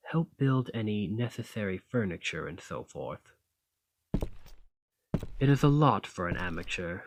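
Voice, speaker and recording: A man's voice: fairly low pitch, dry recording, exaggerated voice. A man playing a dominant, haughty fantasy thane in an ASMR roleplay, commanding and formal with a condescending, teasing edge. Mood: stern, skeptical. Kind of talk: ASMR roleplay acting, slow and intimate.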